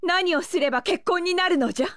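A woman's voice: very high-pitched, fairly quick, fairly bright tone, no echo, clean studio sound. A woman voicing a haughty, lovestruck young pirate empress in an anime video game, with imperious, archaic regal diction.

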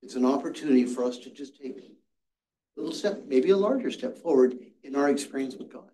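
Older man, church class teacher, earnest storyteller, mellow tone, distant mic in an echoey room. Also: medium pitch, lively intonation, brisk. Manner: earnest storytelling, restarts and repeats words. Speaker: Sabbath school teacher. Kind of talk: teaching a Bible study class. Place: church classroom with seated audience.

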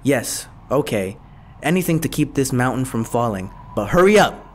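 A man's voice: mid-range voice, animated, normal speed, slight background noise, close to an everyday voice. A man voicing a hungry, vain and gullible wolf villain in a children's puppet show, blustering and theatrical. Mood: panicked.

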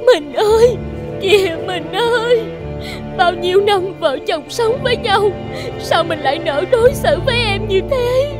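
A plaintive cartoon wife with a very high voice. She is strong and wronged, and speaks with emotional pleading over clearly audible music. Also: animated, a little slow. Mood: hurt, pleading. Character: wronged, pleading strong wife with fish scales, later haunting ghost. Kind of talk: Vietnamese cartoon voice acting.

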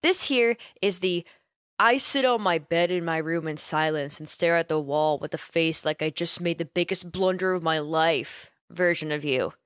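A woman playing a caring, playful young female roommate who gently coaxes and teases her friend in an intimate ASMR roleplay. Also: fairly low pitch, moderate pace, clean tone, no room sound, studio quality, lightly acted. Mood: concerned, gently teasing. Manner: playful, gently coaxing, giggly, hesitant. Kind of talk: ASMR roleplay, intimate one-sided conversation.

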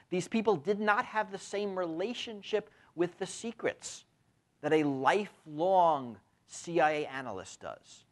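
Man, 50s, university lecturer; animated, rising and falling delivery, peppers points with tag questions; lapel mic. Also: sits high. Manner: frequent tag questions, lively emphatic delivery. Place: lecture room with window blinds.

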